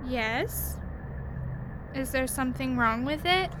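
A woman's voice: high voice, lively intonation, slow pace, background noise. A woman in an audio drama playing an earnest young alien girl who speaks in stiff, formal phrases without contractions. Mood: polite, earnest. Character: earnest young alien girl desperate to save her parents.

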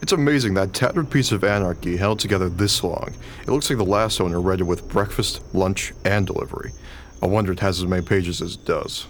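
A man's voice: deep voice, fairly quick, close to an everyday voice. A man playing a weary, irritable young schoolteacher husband in a radio drama, grumbling and exasperated. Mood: incredulous. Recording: faint background noise.

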